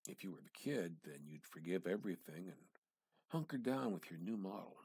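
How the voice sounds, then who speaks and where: A man giving an amateur dramatic reading of literary fiction, theatrical and halting, with pauses and emphatic repetition. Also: low voice, expressive, darkish tone, husky, no echo. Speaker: amateur reader.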